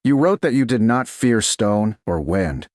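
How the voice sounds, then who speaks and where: A man voicing a stern, reserved duke in a romance story, calm, formal and terse. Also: on the low side, unhurried, no echo. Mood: quiet, intent.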